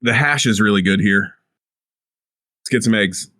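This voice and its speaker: A man's voice: on the low side, moderate pace, sharp tone, no echo, studio quality. A man playing a tabletop game, talking casually in and out of character. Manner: easygoing, casual in-character talk. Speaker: player.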